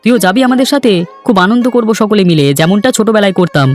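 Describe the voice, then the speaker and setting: Man acting cheerful young village man, cartoon dialogue, quick, friendly, eager, faint music behind. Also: high voice, lively intonation.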